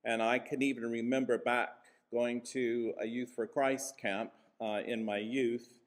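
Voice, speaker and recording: A mature man with an American accent giving an informal, reflective conference address, telling personal stories in measured phrases with pauses for emphasis. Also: mid-range voice, flat delivery. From Kansas, USA. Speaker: church moderator, guest speaker. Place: conference venue.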